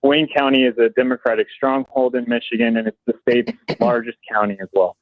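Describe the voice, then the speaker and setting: A man giving a measured, explanatory answer in a broadcast interview clip. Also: medium pitch, fairly even intonation, no room sound. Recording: tinny phone-like played clip.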